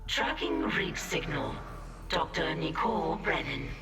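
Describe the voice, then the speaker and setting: A woman voicing an impersonal ship computer in a game, making slow, clipped announcements. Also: low-pitched, very animated, a bit bright, reverberant, recorded from a distance, faint music behind, exaggerated voice.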